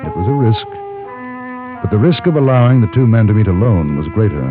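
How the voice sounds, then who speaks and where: A composed, sombre gentleman of the Old West, narrating a radio drama. His deep voice moves expressively with measured, literate phrasing. The vintage recording is thin and boxy. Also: very animated. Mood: sombre, tense. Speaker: narrator and lead character. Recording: muffled vintage radio, music underneath.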